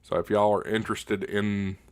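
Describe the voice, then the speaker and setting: A low-voiced man hosts a casual livestream from home, speaking right up on a studio mic. His delivery is folksy and unscripted, with halting pauses as he addresses his viewers. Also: level tone, darkish tone, clear, resonant tone. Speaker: livestream host of a ham radio channel. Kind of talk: casual livestream chat answering viewer questions. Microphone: close directional studio condenser microphone, spoken right up on it.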